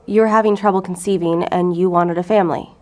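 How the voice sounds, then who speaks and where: A woman's voice: lowish voice, fairly even intonation, quick pace, a little mellow, close to an everyday voice. A woman acting in an audio drama as a hard-edged FBI special agent, blunt, probing and short-tempered. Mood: probing, cool.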